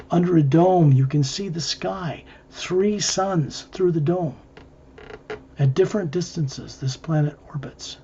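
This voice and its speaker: Male guided-visualization narrator, mellow and breathy, halting phrase-by-phrase delivery, muffled lo-fi sound. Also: a little high. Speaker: guided meditation leader.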